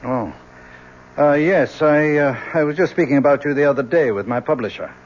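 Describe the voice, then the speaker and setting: A man's voice: fairly high pitch, moderate pace, close to an everyday voice. An actor playing a weary, genteel older professor and father in an old-time radio drama, hesitant and donnish in his phrasing. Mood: uneasy. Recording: muffled, noisy old radio recording.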